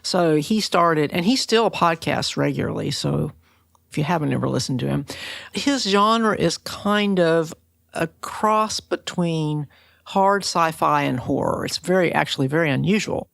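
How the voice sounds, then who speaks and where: An American woman hosts a podcast interview in a conversational style. Her voice sits low and has a strong creak. The sound is close and very dry. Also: expressive, unhurried, no echo.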